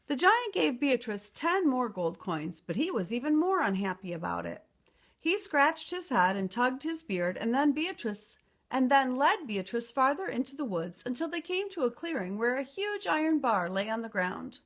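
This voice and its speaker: A woman storyteller reading a children's picture book aloud in an expressive narrating style. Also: high voice, normal speed.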